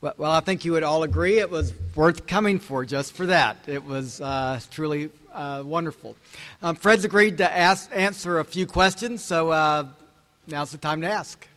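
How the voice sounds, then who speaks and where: Halting man moderating questions. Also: fairly high pitch, fairly bright tone. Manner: informal, halting, with many fillers. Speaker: event moderator.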